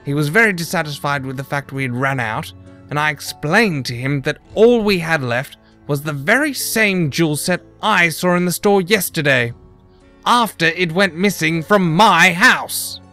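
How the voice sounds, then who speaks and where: Man playing an indignant, higher-voiced male griffin. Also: expressive, moderate pace, bright, forceful tone, soft background music. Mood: indignant, explaining. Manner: defensive, explanatory. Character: indignant, defensive male griffin gem-mine heir. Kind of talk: audio drama acting.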